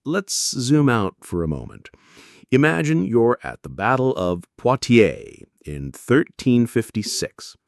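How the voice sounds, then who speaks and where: A man narrating scripted history in a measured storytelling way, with dramatic pauses and wry asides. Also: medium pitch, big pitch swings, creaky, dry recording, clean studio sound.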